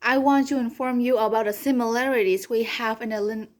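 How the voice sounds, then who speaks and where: Student speaker: young woman, Vietnamese native, slightly high voice, earnest and careful, webcam recording. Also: fairly even intonation, fairly quick. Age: young adult. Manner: earnest, careful, repeats phrases when restarting. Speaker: community college student. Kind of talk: class presentation speech. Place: home room, online class video.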